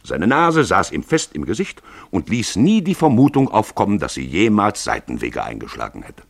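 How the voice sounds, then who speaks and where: A man's voice: fairly low pitch, wildly swooping pitch, moderate pace, gravelly, no echo. A man giving theatrical, wry literary narration as the storyteller of a radio play.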